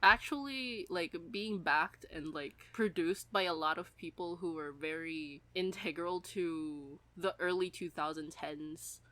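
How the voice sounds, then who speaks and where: Bright-voiced young woman in casual conversation. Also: lowish voice, a little slow, few pauses, no echo. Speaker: podcast co-host. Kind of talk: casual chat.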